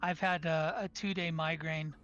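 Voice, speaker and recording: A player in a tabletop game who talks in a hoarse, tired voice with many halting fillers. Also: mid-range voice, little pitch movement, a bit bright, highs cut off.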